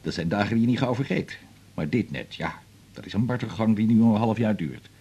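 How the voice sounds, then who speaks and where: Fast, husky, businesslike man. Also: lowish voice, warm tone, highs cut off. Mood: concerned. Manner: brisk, businesslike. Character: busy, businesslike male family doctor. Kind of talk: radio drama acting.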